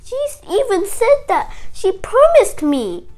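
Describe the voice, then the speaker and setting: A woman's voice: very high voice, huge pitch swings, takes it slowly, darkish tone, soft background music, voice pushed up, exaggerated voice, poor audio. A young female storyteller voicing a small, insistent, curious male frog who pleads in simple words.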